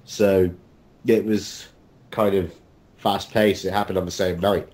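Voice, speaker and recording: A man chatting casually as a voice actor guest, with halting, hesitant phrasing. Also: deep voice, flat delivery, a little mellow. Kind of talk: casual chat.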